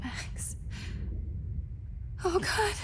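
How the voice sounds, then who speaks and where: An actress playing a terrified, helpless eighteen-year-old student in a video game, crying and faltering as she pleads. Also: extremely slow. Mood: panicked, tearful. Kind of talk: emotional video game voice acting. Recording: echoing reverberant space, background noise.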